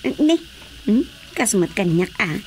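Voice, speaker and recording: A woman's voice: medium pitch, big pitch swings, takes it slowly, a little mellow, a little hiss or hum. A woman playing a blunt, teasing, childless village wife in an Ilocano radio drama comedy, with an Ilocano accent. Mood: plaintive.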